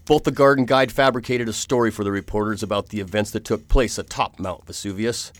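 An actor playing an earnest, determined gentleman hero in a radio drama, speaking gravely and formally. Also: on the low side, fairly even intonation, brisk, natural voice. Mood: reflective. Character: earnest, determined gentleman hero recounting his perilous adventure. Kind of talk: radio drama narration and acting.

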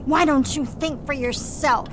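Brief: actress as a scolding, forbidding mother, high voice, rumbly track. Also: steady tone, a little slow, a bit bright, a little hiss or hum. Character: stern, disapproving older mother, landlady. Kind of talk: amateur radio drama.

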